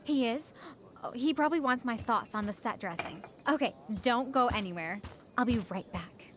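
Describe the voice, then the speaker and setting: A woman's voice: a little high, moderate pace, slight background noise, lightly acted. A woman acting a haughty, scheming American teenage rich girl in a video game, pompous and condescending. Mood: self-important.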